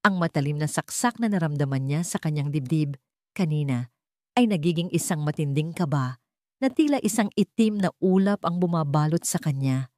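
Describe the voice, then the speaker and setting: Female Filipino storyteller for scripted drama narration; low register, dry recording. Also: expressive, normal speed, a little mellow, no echo.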